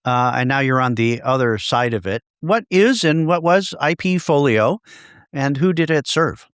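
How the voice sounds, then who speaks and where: Smooth-voiced male podcast host. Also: medium pitch, animated, normal speed, dry recording, clean studio sound. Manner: upbeat, conversational, some restarts. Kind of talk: podcast intro and interview. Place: home in Dallas.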